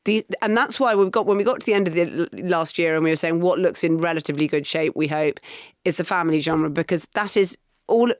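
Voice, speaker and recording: A middle-aged woman co-hosting a podcast, telling anecdotes and running through lists in a lively way. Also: on the low side, fast talker, dry recording, clean studio sound. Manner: lively storytelling, lists and asides. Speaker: podcast co-host. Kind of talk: casual chat.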